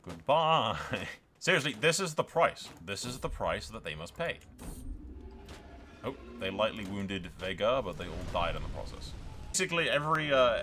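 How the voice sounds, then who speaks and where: A man's voice: lowish voice, bright, forceful tone. A man giving casual, rambling, irreverent commentary as he plays through a game, swearing freely. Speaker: gaming video host.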